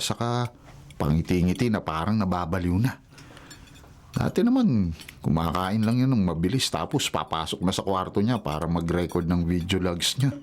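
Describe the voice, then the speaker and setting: A man's voice: lowish voice, a little mellow. A man playing a bemused, protective older brother in a Filipino radio romantic comedy, questioning and incredulous.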